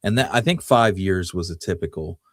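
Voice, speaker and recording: A man with a low-pitched voice co-hosts a history podcast. He talks in a casual storytelling way, feeling out his phrasing as he goes. The sound is dry, with very little room to it. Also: animated, fairly quick, dry recording. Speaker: podcast co-host.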